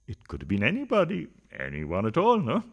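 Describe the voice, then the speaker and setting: An actor playing a flustered, philandering husband in a farcical radio play, stammering and protesting theatrically. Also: male voice, medium pitch, wildly swooping pitch, fairly quick, lo-fi sound. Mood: nervous.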